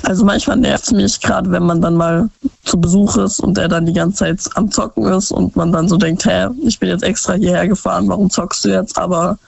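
A woman calls in from Baden-Württemberg, her voice hoarse and raspy from a cold, with heavy fry. She speaks high for her voice and often gropes for words. The phone line sounds dry and close. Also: steady tone, a little fast, a little mellow, no echo. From Baden-Württemberg, Germany. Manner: hesitant, searching for words. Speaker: caller. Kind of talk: casual phone-in chat.

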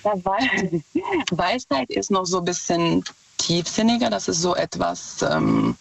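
A woman's voice: on the low side, huge pitch swings, a little slow, gravelly, slightly rough. A woman with a Czech accent calling into a radio show, nervous and hesitant with many fillers. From Czech Republic. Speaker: caller. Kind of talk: phone call-in to radio. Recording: dull distant phone line.

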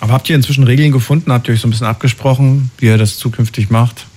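A man's voice: mid-range voice, fairly even intonation, rapid-fire, a little mellow, husky. A man hosting a radio call-in show, chatting casually and asking lots of questions.

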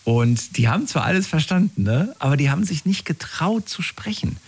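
A man's voice: on the high side, very animated, fairly quick, a little mellow, slightly rough. A man interviewing someone in a conversational, informal way, often adding little tag questions. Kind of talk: conversational interview about personal experiences.

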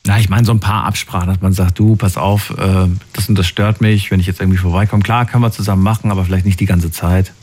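A man's voice: deep voice, steady tone, fast talker, hardly any pauses, a little mellow. A middle-aged man hosting a call-in show, chatty and asking callers follow-up questions. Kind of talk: casual call-in moderation.

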